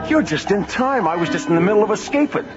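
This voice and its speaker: Cocky high-pitched space pilot wisecracker. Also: male voice, quick pace. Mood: breezy, cocky. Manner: jokey, breezy, excitable. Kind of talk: late-1970s science fiction radio acting. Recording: muffled vintage radio recording, loud music bed.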